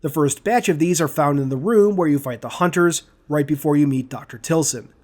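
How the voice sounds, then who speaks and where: A man's voice: on the high side, fairly quick. A man hosting a scripted commentary on video game lore.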